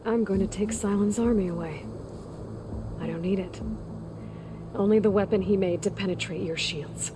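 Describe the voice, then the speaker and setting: An actress playing a determined, protective young warrior woman in a video game, speaking earnestly and often in a hush. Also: medium pitch, fairly even intonation, moderate pace, pauses often, noisy background, close to an everyday voice. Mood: resolute. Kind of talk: video game cutscene acting. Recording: dramatic music behind the voice.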